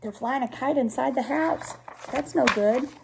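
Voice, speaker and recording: A woman's voice: high-pitched, measured pace, creaky, noisy background. An older woman, a grandmother, reading a children's storybook aloud expressively, with little explanations for a child. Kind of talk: children's storybook narration with asides.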